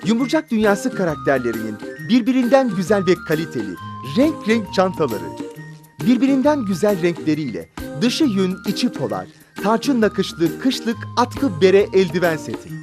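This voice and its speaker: A woman reads a scripted toy commercial in an upbeat, selling way. Her voice bounces in pitch, full of energy. Clear music plays under the read. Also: very animated, normal speed. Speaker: ad announcer. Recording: with background music.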